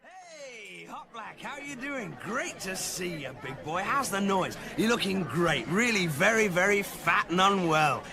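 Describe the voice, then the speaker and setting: A man playing a brash, excitable party-loving rogue in a sci-fi radio comedy, rambling in a hip, exuberant way. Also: sits high, a little slow, gravelly, hardly any pauses, a crowd in the background, heavily acted. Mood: exuberant, matey. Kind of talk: radio comedy drama acting.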